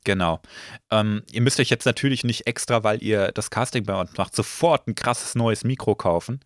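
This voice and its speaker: A slightly hoarse man hosting a casual podcast, relaxed and full of filler sounds. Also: fairly low pitch, normal speed, dry recording, studio quality. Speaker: podcast host.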